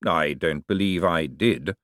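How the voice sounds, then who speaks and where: A man reading aloud and voicing a cold, calculating ruler who speaks slowly with icy politeness. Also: low voice, a bit bright, no room sound, studio quality. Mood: coolly polite. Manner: slow, measured, icily polite. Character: cold, silkily menacing male ruler, patient tyrant.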